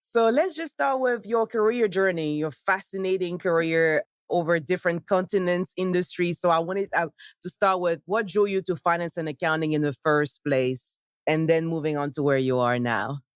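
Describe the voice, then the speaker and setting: A woman's voice: lowish voice, normal speed, no echo, studio quality. A woman hosting a podcast interview in an upbeat, enthusiastic way. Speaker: podcast host.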